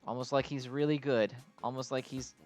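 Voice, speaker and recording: A man hosts a game video in a mid-pitched voice over music. He talks casually and off the cuff, working through explanations in a hesitant, rambling way. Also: steady tone, brisk. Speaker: host of a mobile game video. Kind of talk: casual unscripted game commentary.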